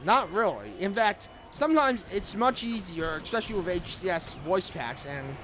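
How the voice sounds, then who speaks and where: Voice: mid-range voice, expressive, normal speed. A gaming video host giving a casual, rambling review, often repeating and rephrasing. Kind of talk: casual scripted-light game review commentary. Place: small room at home, indoors. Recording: music playing behind the voice. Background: background music.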